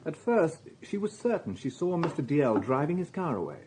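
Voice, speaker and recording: An actor playing a dry, insistent police inspector with a British accent, questioning in a measured, sardonic way in a radio drama. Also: male voice, on the high side, big pitch swings, noisy background. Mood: dry, probing.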